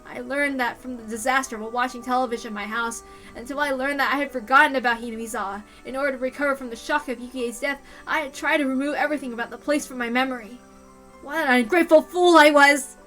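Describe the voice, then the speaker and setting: A woman's voice: sits high, steady tone, fast, pushed and bright. A woman reading a story aloud as a dramatic first-person narrator, in a mournful, intense manner. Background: music.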